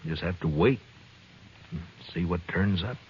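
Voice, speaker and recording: Deep-voiced weary frontier marshal. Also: male voice, measured pace, heavy background noise. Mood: resigned. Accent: American. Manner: laconic, understated. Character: weary, dry, stoic frontier town marshal. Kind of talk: 1950s radio western acting. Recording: dull old radio recording.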